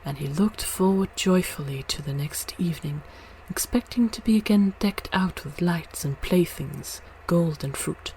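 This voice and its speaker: A woman's voice: lowish voice, measured pace, a little mellow, rough recording. A woman narrating a storybook as a read-aloud, with some noise behind her voice. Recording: noticeable background noise.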